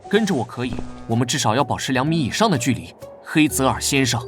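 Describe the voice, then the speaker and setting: Man voicing a prickly young knight, lively voice. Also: mid-range voice, quick pace, lightly acted. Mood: wary. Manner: curt, wary and earnest. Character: prickly, guarded young knight vice-captain. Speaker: knight vice-captain. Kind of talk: animated drama dub. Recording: background music under dialogue.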